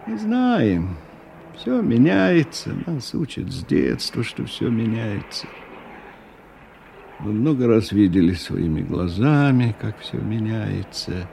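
An actor playing a weary, disillusioned middle-aged man thinking aloud in a radio drama, with halting, ruminative delivery. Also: lowish voice, big pitch swings, gravelly, mellow, exaggerated voice. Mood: brooding. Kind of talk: radio drama interior monologue. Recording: 1990 radio recording with background noise.

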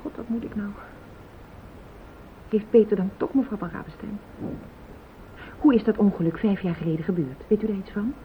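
An actress playing an anxious, fiercely loyal wife, formal and insistent, in a radio play. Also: female voice, normal speed, pauses often, lightly acted. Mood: shocked, subdued. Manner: formal, insistent, easily indignant. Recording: tinny old radio recording, hiss, rumble.

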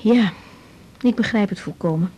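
An actress playing a young Dutch doctor, gentle, attentive and a little tentative, in a radio drama. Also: female voice, medium pitch, normal speed, a little hiss or hum, close to an everyday voice. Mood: attentive, reassuring.

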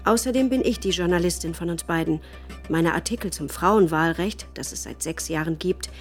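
An actress playing an educated, critical journalist and wife, narrating her life in a measured, dry, chronicle-like way for a radio drama. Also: on the low side, natural voice. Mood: disapproving. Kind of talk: scripted first-person radio drama narration.

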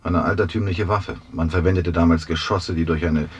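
An actor playing a shrewd, dogged police investigator with a probing, deliberate manner in a radio drama. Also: male voice, deep voice, fairly even intonation, slightly rough. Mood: probing, determined. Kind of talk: 1970s German radio drama acting.

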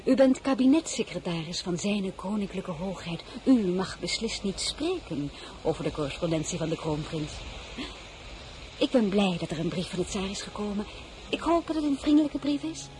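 Over audible noise comes a proud, principled crown princess , played by a woman. Her voice sits at a middle pitch. She phrases formally and deliberately, with the dignity of royalty in a radio play. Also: lively intonation, lightly acted. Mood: questioning, composed. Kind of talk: dramatized novel, radio play acting. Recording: clearly noisy recording.

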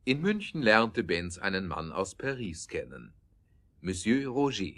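Low-voiced man narrating an audio drama. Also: very animated, unhurried, pushed and bright.

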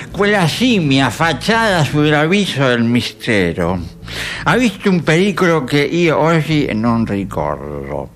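Man playing a slow, rambling radio philosopher. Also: medium pitch. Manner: halting, musing, seeks agreement. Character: rambling, absent-minded radio philosopher, solemnly absurd. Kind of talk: mock-philosophical radio monologue.

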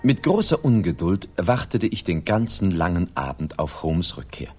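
An actor playing a detective's male companion as narrator of a 1960s German radio play, husky and measured. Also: low-pitched, sing-song, music in the background. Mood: measured, suspenseful. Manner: measured, literary.

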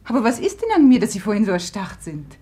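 A woman's voice: mid-range voice, very animated, fast, dark tone, lightly acted. An actress playing a proud, capricious society woman, teasing and imperious, in a 1950s German radio drama. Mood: intrigued.

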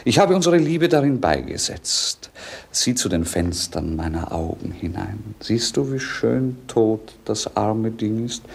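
A man's voice: mid-range voice, gravelly. A man playing a bored, brooding, self-mocking young prince in a 1950s radio play, declaiming lyrically and theatrically. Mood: tender, cold melancholy.

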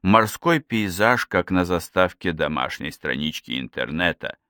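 Deep-voiced male audiobook narrator, dry sound. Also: expressive, unhurried, pushed and bright, no echo. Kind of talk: scripted literary narration.

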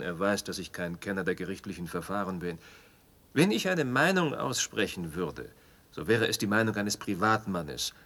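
A cautious foreign visitor in a radio play, with a fairly low voice. He speaks formally and with restraint, choosing his words politely and modestly. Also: a bit bright. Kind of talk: German radio drama acting.

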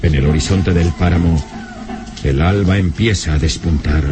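A man's voice: low voice, normal speed, background noise, lightly acted. A male actor as a solemn, dramatic storyteller, narrating an old radio serial in a theatrical, grandiloquent way.

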